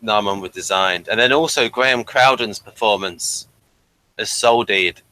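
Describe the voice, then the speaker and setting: A man's voice: lowish voice, measured pace, bright, forceful tone, poor audio. A man hosting a review show in a casual, conversational style. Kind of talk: casual chat.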